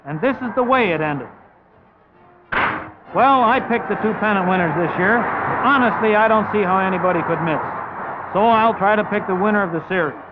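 High-pitched man narrating a vintage sports newsreel. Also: normal speed, very noisy. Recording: dull vintage 1950s newsreel sound, music behind.

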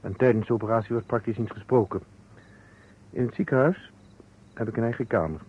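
An actor in an old Dutch radio play playing a weary surgeon, speaking in short, deliberate phrases. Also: male voice, medium pitch, husky, lightly acted. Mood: grave, confessing. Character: weary male surgeon coolly confessing a false alibi. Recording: dull, noisy old radio recording.

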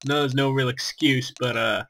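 A man's voice: mid-range voice, steady tone, moderate pace, noisy background. A young man, rambling in casual gameplay commentary while still getting over an illness, full of fillers and restarts. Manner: rambling, self-deprecating, many fillers and restarts. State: recovering from a virus, lost his voice. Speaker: gaming YouTuber.

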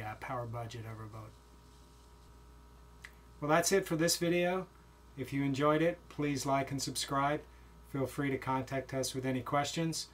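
Middle-aged man, laid-back vlog explainer, basement room on a camera mic with faint hum. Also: mid-range voice. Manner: relaxed, plain explaining with fillers. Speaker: vlogger. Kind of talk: casual show-and-tell walkthrough. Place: small basement utility room.

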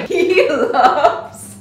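A woman's voice: sits high, roomy sound. Giggly young woman. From Slovakia. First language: Hungarian. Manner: playful, giggly, searches for English words. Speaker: co-host, real estate agent. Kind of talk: casual chat. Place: office with desk and orchids, indoors.

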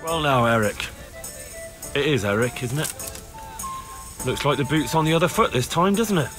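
A man's voice: medium pitch, expressive, moderate pace, fairly bright tone, lightly acted. Stern young medieval soldier, acted by a man, music behind. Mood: mocking. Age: young adult. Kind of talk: video game cutscene acting. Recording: music under the voice.

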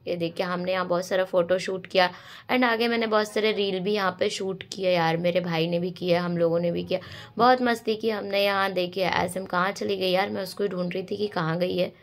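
Hoarse young woman vlogging, lowish voice. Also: fairly even intonation, brisk. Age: young adult. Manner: chatty, addressing viewers directly. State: hoarse with a cold. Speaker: vlogger and dance choreographer. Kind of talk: casual vlog voice-over.

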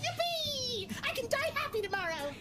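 A woman as a squeaky, excitable game character. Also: normal speed. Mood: gleeful. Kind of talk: improvised comedic fan dub of a video game. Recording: loud music underneath.

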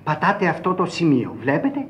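Animated, confiding sewer workman. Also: loud music behind the voice. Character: obliging male sewer caretaker, confiding and knowing. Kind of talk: radio theatre acting. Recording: old radio recording with faint rumbling noise.

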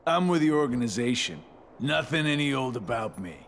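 A man's voice: medium pitch, animated, a little fast, a little hiss or hum, natural voice. A man playing a sly, cocky rogue villain in a video game, drawling and mocking in slangy speech. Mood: playful taunting.

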